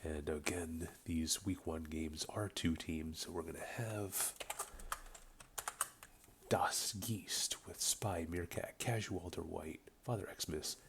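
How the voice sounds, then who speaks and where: Man, deep voice, unhurried, a little off from a cold, rambling replay caster, faint hum behind. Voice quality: voice slightly off from a cold. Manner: relaxed, rambling with many ums. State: getting over a cold. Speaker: game caster. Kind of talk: casual play-by-play commentary. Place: home room with a buzzing light.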